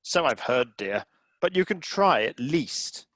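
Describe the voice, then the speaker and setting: A man's voice: mid-range voice, big pitch swings, unhurried, fairly bright tone. A man playing a camp, wounded and snobbish classical pianist in a comedy radio play. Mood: mischievous.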